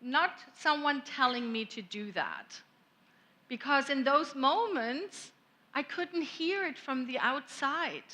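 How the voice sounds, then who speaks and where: Lady minister, slow gentle sermon, kinda high voice. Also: animated. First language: German. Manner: gentle, reflective, unhurried with long pauses. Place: church sanctuary.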